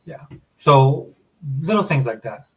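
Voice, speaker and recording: A man's voice: medium pitch, expressive, slow pace, fairly bright tone, poor audio. A man hosting a casual podcast chat, rambling with many fillers and restarts. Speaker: podcast host.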